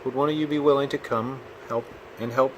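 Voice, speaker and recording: A man voicing a polite, fretful hermit crab in an earnest, expressive storybook style. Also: mid-range voice, brisk, noisy background, pitched above the performer's own voice, close to an everyday voice. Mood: admiring, polite. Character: polite, fretful young male hermit crab seeking a home.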